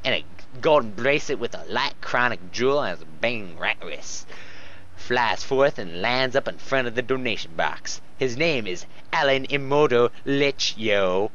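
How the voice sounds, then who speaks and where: A man with a mid-pitched voice on a slightly muffled, lo-fi recording. He reads aloud casually for comedy and rambles off into sarcastic, sweary commentary. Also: lively intonation, measured pace, fairly bright tone, a little hiss or hum. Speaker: host reading fan fiction aloud with running commentary. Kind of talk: casual comedic read-aloud with mocking asides.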